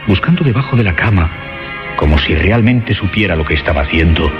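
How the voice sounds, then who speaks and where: A man with a very deep voice narrates a horror radio play. His delivery is solemn and literary, like formal storytelling. Loud music sits beneath a slightly dull recording. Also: lively intonation, brisk.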